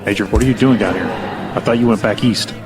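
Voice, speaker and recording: A man's voice: on the low side, quick pace, natural voice. A man playing a friendly, assured, sociable gunnery sergeant in an audio drama. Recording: music bed behind.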